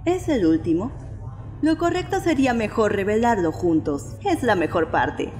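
A woman plays a practical, shrewd young game adventurer. She speaks Latin American Spanish with lively pitch over faint music. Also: moderate pace, close to an everyday voice. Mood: businesslike. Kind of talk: fan dub of a video game.

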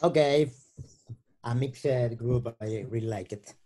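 A man whose first language is Spanish, lecturing in halting English on a video call and searching for words. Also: medium pitch, mellow. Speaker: guest lecturer. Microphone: laptop mic on a video call.

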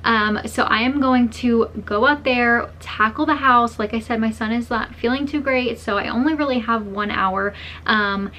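A woman's voice: fairly high pitch, normal speed, sharp tone, slight background noise. A young woman in her twenties, a vlogger chatting casually to the camera at home with an easygoing, um-filled manner. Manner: chatty, easygoing, frequent ums. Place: small home bedroom, indoors.

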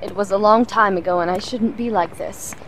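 An actress playing a headstrong, short-tempered mentor in a fantasy audio drama, earnest and insistent. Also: female voice, medium pitch, a little fast, fairly bright tone, slight background noise, natural voice. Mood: earnest, explaining.

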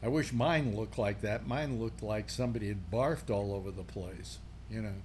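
A man's voice: fairly low pitch, moderate pace, darkish tone. An older man hosting a casual panel chat over a video call, musing aloud and restarting his sentences. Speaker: host. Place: home room on video call. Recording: faint background noise.

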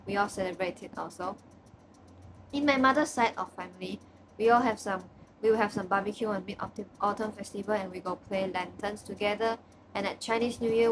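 Young woman, Malaysian English accent, casual bedroom webcam vlog, mid pitch, steady noise underneath. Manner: chatty, frequent restarts and repeats. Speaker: student. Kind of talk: casual personal video report. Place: small bedroom, indoors. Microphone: webcam at desk distance.

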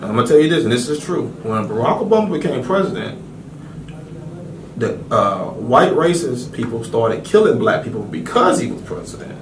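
A man's voice: mid-range voice, normal speed. Emphatic man in an echoey studio. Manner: emphatic, animated storytelling. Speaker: host. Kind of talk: studio interview chat. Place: small TV studio. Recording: echoey studio, distant mic, faint hiss.

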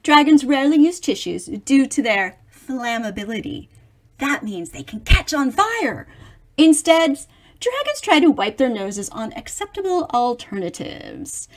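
A middle-aged woman reads a picture book aloud to children at an unhurried pace. Her voice sits high and swings widely in pitch. She is expressive and adds chatty asides to explain things. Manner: expressive, animated, explaining to young listeners. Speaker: storybook reader for children.

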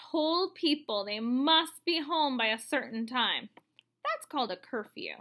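A woman in her thirties reading a picture book aloud to children, explaining as she goes in an expressive way. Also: sits high. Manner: expressive, explanatory, addressing young listeners. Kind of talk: read-aloud storytelling for children with asides. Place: room at home, indoors.

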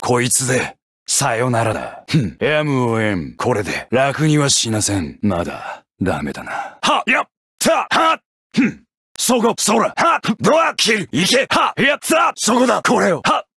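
A man voicing a brooding, scornful video game gunman who longs for death, with a menacing, listless, husky delivery. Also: medium pitch, wildly swooping pitch, unhurried, sharp tone, no echo, studio quality, exaggerated voice. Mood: cold, scornful, then frenzied, intense. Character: brooding death-seeking male gunman, scornful, longing for rebirth. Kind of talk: anime-style video game voice acting.

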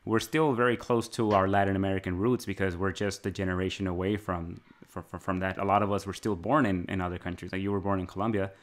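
A man's voice: low voice, fairly even intonation, fast talker, hardly any pauses. A man co-hosting a podcast, raised in Mexico, chatting casually in a rambling, musing way and laughing often. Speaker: podcast co-host. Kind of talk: casual chat.

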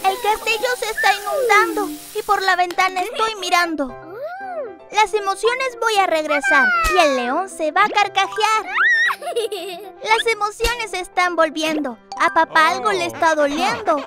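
A chirpy high lion cub. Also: female voice, measured pace. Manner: chirpy, sing-song rhymes. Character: lively young cartoon lion cub. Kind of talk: rhyming cartoon narration. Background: children's music.

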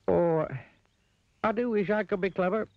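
A man's voice: high voice, normal speed, vocal fry, no room sound, muffled recording, voice pushed up. A man voicing a simple, good-natured silly man in a children's story, with a teasing streak. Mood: wistful.

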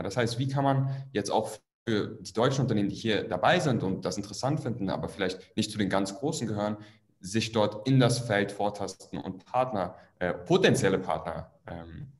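A man's voice: lowish voice, fairly quick, darkish tone. A young man moderating an online panel in German, asking polite, well-structured questions. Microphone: video call webcam.

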